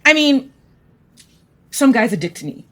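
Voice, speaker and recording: An actress as a brash, generous woman in an audio drama. Her voice sits fairly high and swings around with lots of expression. She talks bluntly and informally, with a wry edge. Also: big pitch swings, measured pace, heavily acted. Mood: frank, animated. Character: brash, generous woman who can turn threats to ash.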